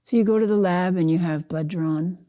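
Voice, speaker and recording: Older female meditation guide, low and very mellow, measured phrasing with deliberate pauses. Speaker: Zen teacher leading a guided meditation. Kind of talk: slow guided meditation narration.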